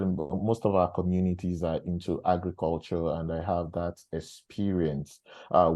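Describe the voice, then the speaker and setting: A man's voice: low voice, warm tone. A man from Nigeria, a startup CEO, speaking on an online panel with frequent hesitant uhs. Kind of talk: panel discussion.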